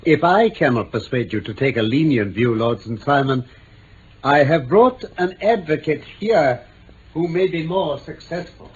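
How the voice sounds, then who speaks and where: An actor playing a keen, amused, self-assured English gentleman detective in mid-century radio drama style, urbane and precise. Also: a little high, animated, measured pace. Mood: persuasive, courteous. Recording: muffled, noisy old radio recording.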